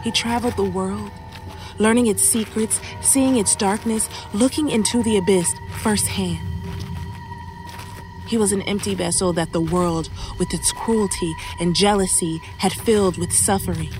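An actress playing a solemn woman caught up in an audio horror drama, speaking in a measured, serious way. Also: medium pitch, lightly acted, low-quality recording. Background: loud dramatic music.

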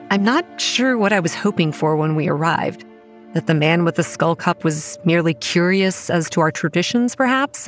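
A young woman playing a wry, anxious campground manager, giving measured first-person narration in a horror audio drama. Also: on the low side, close to an everyday voice. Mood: measured, uneasy. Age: young adult.

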